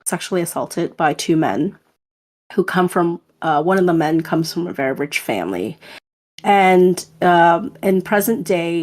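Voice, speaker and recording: Lady podcaster rambling along in a fairly low voice, lots of ums. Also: a little mellow. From Hong Kong. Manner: chatty, rambling with fillers and restarts. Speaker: podcast co-host. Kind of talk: casual podcast chat.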